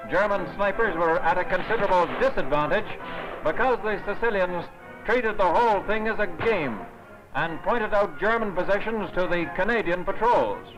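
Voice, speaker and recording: A man's voice: high voice, highs cut off. A man narrating a scripted wartime newsreel from the 1940s in a formal, declamatory manner, on an old soundtrack. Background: loud music.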